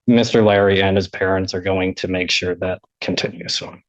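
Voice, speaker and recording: A man speaking as a defense attorney, making a measured, formal argument in court with hesitant phrasing. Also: sits low, little pitch movement, highs cut off. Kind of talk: courtroom argument at a bond hearing.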